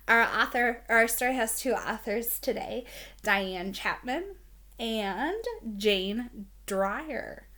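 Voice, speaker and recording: A woman's voice: on the high side, a bit bright. A woman teacher introducing a read-aloud for young children, explaining things simply and asking the listener questions. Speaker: elementary school teacher.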